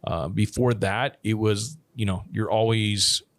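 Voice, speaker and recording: Laid-back low-voiced man, casual podcast chat, loose rambling delivery, dry close sound. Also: fairly even intonation, unhurried, no room sound. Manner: rambling, many restarts and "you know". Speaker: production company owner. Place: living abroad, working from Thailand.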